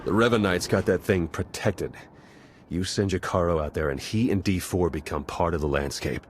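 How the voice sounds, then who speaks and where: Game spy male actor: very low voice, dry, sardonic, battered but dependable, natural delivery. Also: moderate pace, slight background noise, natural voice. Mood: urgent. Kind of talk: natural video game dialogue acting.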